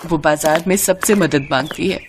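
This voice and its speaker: A woman narrating a scripted moral story in an expressive, dramatic way. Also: deep voice, moderate pace, slight background noise.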